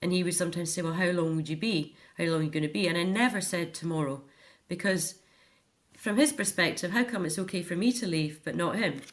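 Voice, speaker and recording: A woman's voice: fairly low pitch, brisk. A middle-aged woman speaking earnestly and emphatically as a guest in a reflective personal interview.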